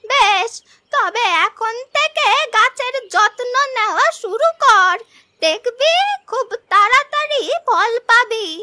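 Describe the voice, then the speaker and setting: A woman's voice: extremely high pitch, expressive, slow pace, pushed and bright, dry recording, exaggerated voice. A woman voicing an old village grandmother in a cartoon story, bossy and scolding but kindly, with emphatic, admonishing advice. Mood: advising. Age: older. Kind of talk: Bengali cartoon story dubbing.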